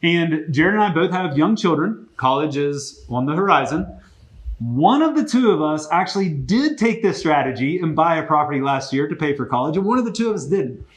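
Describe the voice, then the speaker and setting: Male business-chat host, voice a bit higher than average, conversational, explanatory, gently teasing. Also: sing-song, fairly quick. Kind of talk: casual business interview.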